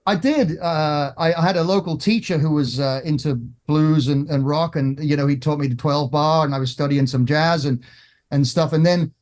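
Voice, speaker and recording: A middle-aged man from England, a guest guitarist, talking in a casual interview with many restarts and pauses. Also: fairly high pitch, fairly even intonation, a little mellow. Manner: halting, many restarts and fillers.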